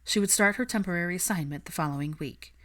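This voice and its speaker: A woman's voice: lowish voice, quick pace, a bit bright. A woman reading fiction aloud as an audiobook narrator, in scripted storytelling style.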